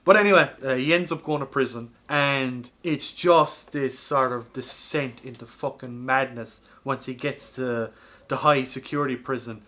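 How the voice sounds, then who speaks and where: A man's voice: mid-range voice, pushed and bright. An Irish man co-hosting a podcast, telling film stories in a casual, informal and sweary way. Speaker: podcast co-host. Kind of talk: casual film chat, animated storytelling.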